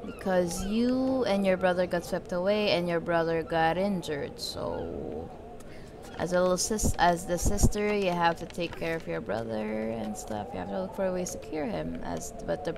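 A woman's voice: fairly low pitch, sing-song, moderate pace, creaky, noisy background. A woman giving casual, chatty commentary as she plays a video game, thinking aloud and often trailing off mid-thought. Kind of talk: casual let's-play commentary. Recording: game music behind the voice. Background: video game music.

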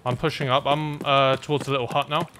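A man streaming a game, chatting casually with a teammate in an excitable, sweary, exclaiming way. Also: medium pitch, fast talker, background noise. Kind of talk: casual gaming commentary with a teammate.

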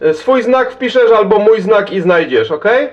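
A Polish man working as a CB radio operator, giving call signs and chatting in a brisk, friendly, informal way. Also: sits high, animated. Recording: dull, lo-fi CB radio recording.

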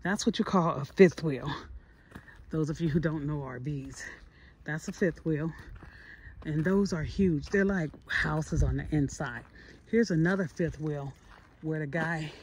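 A middle-aged woman, chatting casually and haltingly to her vlog viewers on a phone at arm's length. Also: low-pitched, darkish tone. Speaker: vlogger. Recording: noisy handheld phone recording.

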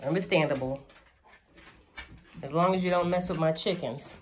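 A woman chatting casually behind a handheld camera outdoors on her homestead, laid-back and quick to laugh. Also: deep voice, slight background noise. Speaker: homestead vlogger filming. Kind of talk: casual vlog chat. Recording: music playing under the voice.

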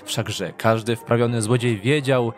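A man's voice: mid-range voice, fairly even intonation, measured pace. A man narrating a scripted story in a dramatic audiobook style. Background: background music.

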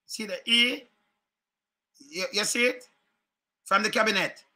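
A middle-aged man with a Jamaican accent giving casual, animated political commentary to his webcam from home, repeating his points. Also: high voice, measured pace. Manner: animated, chuckling, repeats phrases, gestures a lot. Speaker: online commentary host. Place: home room, indoors. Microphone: webcam at desk.

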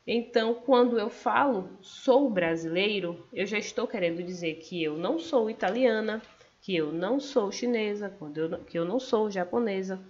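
A woman's voice: mid-range voice, normal speed, a little mellow. Brazilian woman presenting a class topic. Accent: Brazilian Portuguese. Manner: explanatory, hesitant fillers. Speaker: education student presenting. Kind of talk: class presentation over slides. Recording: dull lo-fi recording.

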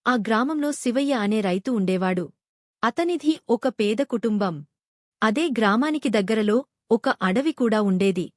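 A woman's voice: a little high, lively intonation, moderate pace, no room sound, clean studio sound. A woman narrating a scripted moral story for children.

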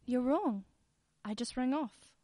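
An actress as a bereaved middle-aged mother in a radio drama, set on revenge. Her fairly high voice is firm and declarative, captured in a dry, close recording. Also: slow, mellow, no room sound. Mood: firm, accusing. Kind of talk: old-time radio play acting.

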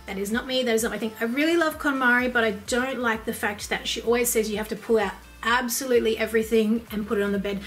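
A woman's voice: a little high, fairly even intonation, quick pace, hardly any pauses, soft background music. An Australian woman in her thirties talking casually and practically as a home lifestyle vlogger in a small bedroom, recorded on a nearby camera. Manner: upbeat, chatty, practical. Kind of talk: casual chatty vlog talk. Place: small bedroom by a wardrobe, indoors.

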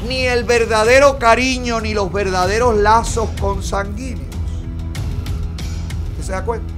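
A middle-aged Cuban man with a Cuban Spanish accent, hosting a gossip commentary show in a studio, emphatic and sarcastic. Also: high voice. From Cuba. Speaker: host. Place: bright studio, seated in a red chair.

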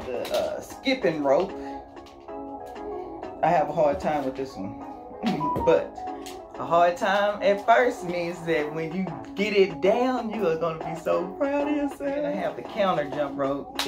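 A woman's voice: on the low side, sing-song, a little slow. A woman in her forties chatting upbeat and casually while unboxing fitness gear in her small bedroom, talking to a camera a few feet away. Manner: chatty and upbeat, with laughs and exclamations. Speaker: fitness YouTuber. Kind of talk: casual product unboxing vlog. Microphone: camera mic at a few feet. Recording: music playing under the voice. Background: music.

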